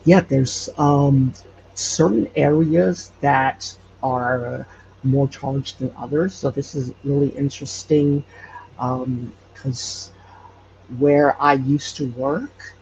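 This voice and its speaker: A man talks slowly and haltingly, with a creaky, low-energy edge and a darker tone. He explains things casually as an interview guest. The recording is a bit dull, with faint noise behind him. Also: steady tone, darkish tone. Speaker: podcast guest, local storyteller. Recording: muffled, with faint background noise.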